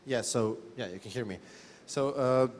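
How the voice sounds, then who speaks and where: A man's voice: medium pitch, normal speed. Male panelist, easygoing anecdote, reverberant hall with faint background noise. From Serbia. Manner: relaxed storytelling. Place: conference stage.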